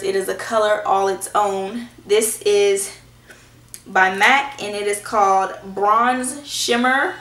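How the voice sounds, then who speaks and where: Brief: hoarse young woman, casual beauty vlog, chatty and reassuring, roomy camera sound. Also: medium pitch, a bit bright. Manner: chatty and reassuring, self-teasing. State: hoarse from a cold. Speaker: beauty vlogger. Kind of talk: casual product review. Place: small indoor room at home. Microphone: camera in front at close range. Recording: echoey room.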